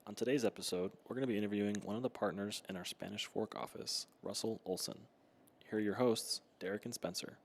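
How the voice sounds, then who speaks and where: A man in his twenties reads the show's opening lines. He moves at a brisk clip in a slightly low voice, plainly scripted. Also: fairly even intonation, a little hiss or hum. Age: 20s. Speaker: show announcer. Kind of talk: quick scripted intro.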